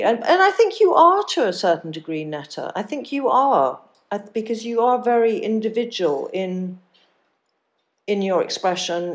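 A middle-aged woman hosting an interview podcast, talking directly and chattily and letting her thoughts trail off quietly at the end. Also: lowish voice. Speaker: podcast host. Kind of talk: casual interview chat.

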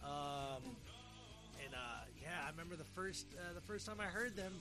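A man's voice: fairly high pitch, lively intonation, normal speed, over music. A middle-aged man hosting a podcast in casual chat, rambling and often repeating his words. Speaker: podcast host.

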